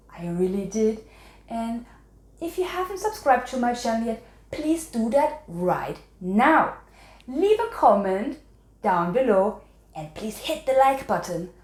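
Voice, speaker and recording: A woman language teacher presents a quiz lesson in a roomy, echoing space. She speaks slowly and instructively, with pitch that rises and falls in an animated way. Example sentences are repeated and carefully enunciated. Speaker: language teacher and channel host.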